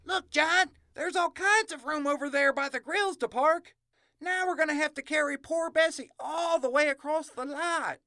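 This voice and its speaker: A performer playing a young, sarcastic, excitable country character with folksy phrasing in a comedy audio drama. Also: high-pitched, normal speed, no echo, clean studio sound. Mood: grumbling, impatient. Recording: faint ambient noise behind.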